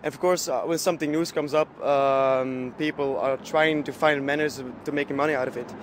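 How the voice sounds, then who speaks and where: A man from Belgium with a slightly high voice speaks haltingly, pausing with fillers as he hunts for words. Street noise hums faintly behind him. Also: steady tone. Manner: hesitant, many fillers, searching for words. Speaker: passer-by interviewee.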